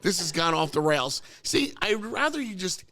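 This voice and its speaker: A hoarse man with a high, gravelly, bright voice hosts a casual talk show. He is losing his voice. He argues excitably, and his pitch keeps jumping upward. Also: normal speed. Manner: excitable, pitch jumps up when arguing. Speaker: podcast host. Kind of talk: casual banter. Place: car service center.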